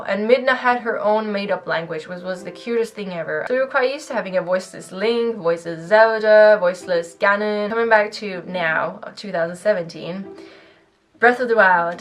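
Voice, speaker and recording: Candid woman vlogging. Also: lowish voice. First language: Swedish. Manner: rambling, candid, laughs between phrases. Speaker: YouTuber. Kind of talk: casual vlog monologue.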